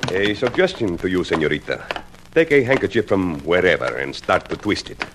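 An actor playing a weary, formal police inspector with a Spanish accent and florid phrasing in a 1950s radio drama. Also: male voice, low voice, very animated, brisk. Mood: probing. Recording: noisy 1950s radio recording.

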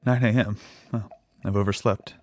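Man acting a low-voiced, timid lab assistant. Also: fairly even intonation, moderate pace, dry recording, close to an everyday voice. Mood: sheepish. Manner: hesitant, earnest. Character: nebbish, lovestruck, timid male lab assistant. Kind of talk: intimate audio drama dialogue.

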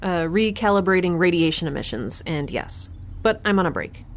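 A woman's voice: lowish voice, expressive, normal speed, slight background noise. A young woman giving a wry, chatty scripted monologue as the lead of an audio drama. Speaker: solo audio drama narrator and protagonist.

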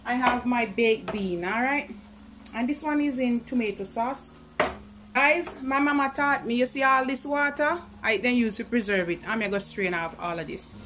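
A woman with a Jamaican accent talks her viewers through a home-cooked meal, chatty and informal, tossing in asides to them. Her voice sits a little high. Clear sizzling and stirring from the stove run under her words. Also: moderate pace. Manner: chatty, keeps calling to viewers. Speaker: cooking vlogger. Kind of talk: casual cooking demonstration. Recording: sizzling pan and kitchen noise behind.

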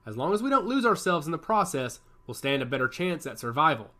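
A man voicing a calm, wry young man who supports his siblings in a read-aloud story. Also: a little high, fast talker.

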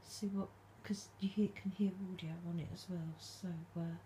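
A woman vlogging at night from her dark bedroom, speaking softly and calling out to spirits, her voice a little stuffed up from hay fever. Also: fairly low pitch, steady tone, moderate pace, a little hiss or hum, rough recording. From England. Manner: hushed, soft-spoken, calling out to spirits. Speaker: paranormal vlogger. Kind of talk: quiet late-night ghost hunting vlog.

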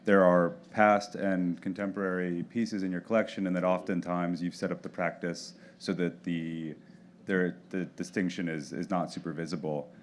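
A man's voice: low-pitched, little pitch movement, moderate pace, slight background noise. A man moderating a panel, asking loose, rambling questions into a handheld mic.

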